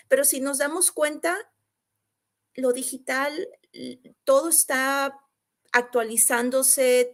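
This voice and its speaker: A woman hosting an online group video call, giving an upbeat, encouraging business pitch with frequent restarts. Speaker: host and business coach.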